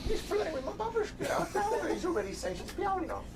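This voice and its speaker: A man narrating a travel video, describing places in a lively way. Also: very high-pitched, fast. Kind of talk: travel commentary. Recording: loud vehicle noise in background.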